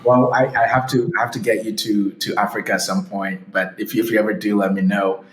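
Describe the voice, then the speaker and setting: A man's voice: on the low side, little pitch movement, brisk, a bit bright, slight background noise. Man from Ghana explaining, echoey room. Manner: upbeat, explanatory, frequent "right?" tags. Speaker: startup founder guest. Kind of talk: podcast interview answers.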